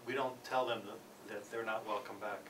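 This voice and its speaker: A man presenting a peace program at a conference, speaking haltingly with many fillers and restarts. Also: fairly low pitch, fairly even intonation. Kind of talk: conference panel talk. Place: church conference hall. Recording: distant, noisy conference recording.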